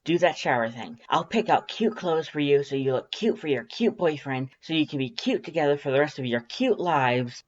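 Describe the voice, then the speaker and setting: A woman voicing a brash, excitable female monster best friend in a fan-fiction audiobook, loud, emphatic and teasing. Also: low voice, moderate pace, hardly any pauses, dull audio. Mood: eager, bossy.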